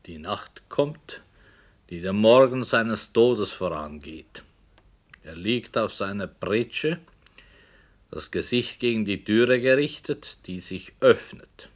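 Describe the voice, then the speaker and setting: An actor playing a matter-of-fact storyteller who narrates and directs the play. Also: male voice, lowish voice, lively intonation, slow pace. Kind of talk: radio drama narration.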